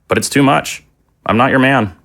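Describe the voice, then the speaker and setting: A man's voice: mid-range voice, normal speed, vocal fry, a bit bright. An actor playing an earnest, humble father in an audio drama, sincere, hesitant and pleading. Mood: earnest refusal. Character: earnest, anxious zombie father, humble and principled.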